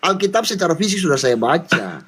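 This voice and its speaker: A man's voice: sits high, big pitch swings, a little fast, very noisy. A man in a heated religious debate, arguing back indignantly. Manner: indignant, argumentative.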